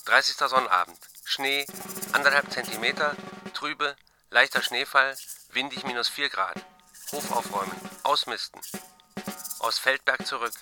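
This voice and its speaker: An actor playing a terse diarist who reads entries in clipped, telegraphic bursts in a radio play. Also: male voice, mid-range voice, close to an everyday voice. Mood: terse, flat report. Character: terse male diarist noting weather and chores. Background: percussive music.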